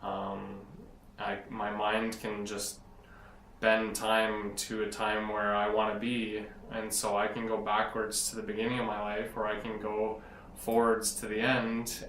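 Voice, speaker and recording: A young man speaking in a low, fairly flat voice. He talks earnestly and wanders as he searches for words. He sounds a little distant from the mic, in an echoey room with faint background noise. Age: 20s. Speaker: interviewee, blogger. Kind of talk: casual interview.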